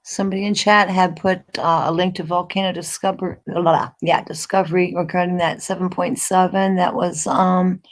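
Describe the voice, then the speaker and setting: A woman hosting a casual livestream chat, hoarse and losing her voice, clearing her throat as she talks. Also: on the low side, little pitch movement, moderate pace, few pauses. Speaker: livestream host.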